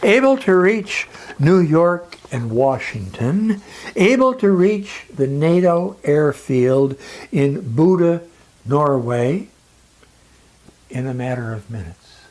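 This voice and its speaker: Slow, deliberate man with expressive delivery. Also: fairly high pitch. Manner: deliberate, solemn, emphatic with dramatic pauses. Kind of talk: scripted persuasive lecture narration.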